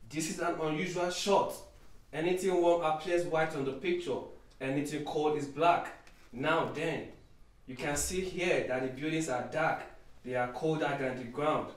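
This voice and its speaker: A man playing a matter-of-fact military analyst giving a measured, explanatory briefing in a radio drama. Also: a little high, steady tone, lightly acted. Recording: very echoey, distant, faint music.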